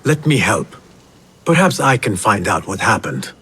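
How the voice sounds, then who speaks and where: An actor playing a determined, calm young male investigator in a video game cutscene. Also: fairly low pitch, normal speed, husky, heavily acted. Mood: focused, investigative.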